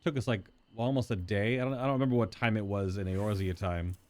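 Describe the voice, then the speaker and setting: A man's voice: fairly low pitch, steady tone, fairly quick. A man streaming a game playthrough, reading quest titles and making dry, offhand remarks. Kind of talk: casual playthrough commentary.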